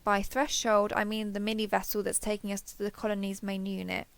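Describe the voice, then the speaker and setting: A woman's voice: mid-range voice, level tone, a little fast, vocal fry, smooth, no room sound, close to an everyday voice. A woman playing a sheltered young female alien, naive and obsessively devoted, talking earnestly and intimately to the listener in an audio roleplay. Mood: soothing, possessive. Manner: earnest, wheedling, over-explaining.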